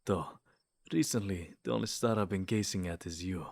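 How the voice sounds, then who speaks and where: Smug, lovesick male vampire played by a man, low-voiced. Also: normal speed, creaky. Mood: smug wooing. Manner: theatrical, taunting, sweet-talking the listener. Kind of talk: comedic ASMR roleplay acting.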